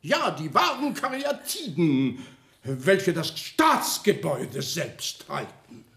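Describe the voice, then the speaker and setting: Radio play: mocking, grandiloquent male official, slow declamation with wide melodic swings, roomy echo. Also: a little high, very animated, a bit bright, exaggerated voice. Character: officious, mocking councillor declaiming a satirical speech. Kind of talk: German radio play acting. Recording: roomy, echoing radio studio sound.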